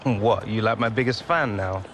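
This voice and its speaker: Young footballer, low voice, curt and guarded, music beneath , a man acting it out. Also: moderate pace, natural voice. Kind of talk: video game cutscene acting. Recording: score music underneath.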